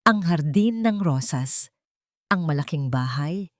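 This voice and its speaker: A woman storyteller reading a scripted romance drama in a measured, dramatic way with deliberate pauses. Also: low-pitched, expressive, no room sound, studio quality. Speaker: storyteller narrator.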